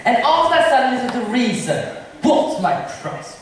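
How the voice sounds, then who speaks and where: A gloomy, bitter villain played by an amateur stage actor declaims with big swings in pitch. He broods and schemes like a resentful prince's brother. The sound is distant, in a very echoey hall. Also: sing-song, moderate pace, slight background noise, close to an everyday voice. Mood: brooding. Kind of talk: amateur stage acting of modernized Shakespeare. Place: large echoey room, school drama performance.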